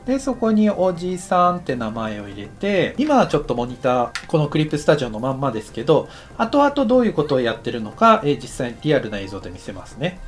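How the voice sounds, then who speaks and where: A man giving a casual, explanatory tutorial as an anime director, thinking aloud in short halting phrases. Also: fairly high pitch, wildly swooping pitch, soft background music. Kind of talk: instructional lesson narration, casual and explanatory.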